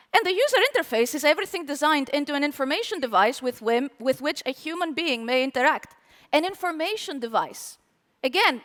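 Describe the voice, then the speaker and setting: A woman with a very high, lively voice speaks from a conference stage, her pitch bouncing up and down as she teases the crowd and quizzes them. Her words ring out with a long hall reverb behind them. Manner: animated, playful, asks the audience questions. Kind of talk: live tech conference talk. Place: conference hall with live audience.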